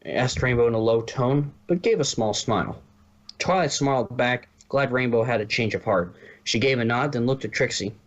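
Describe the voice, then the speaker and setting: A man's voice: mid-range voice, normal speed. A man reading a story aloud as narrator, now and then stumbling and correcting himself. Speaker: narrator, fan fiction reader.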